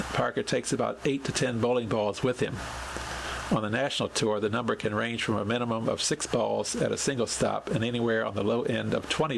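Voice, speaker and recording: Male podcast host, heavily creaky voice, measured scripted storytelling, audible noise behind. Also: medium pitch. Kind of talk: scripted narration, reading a written account.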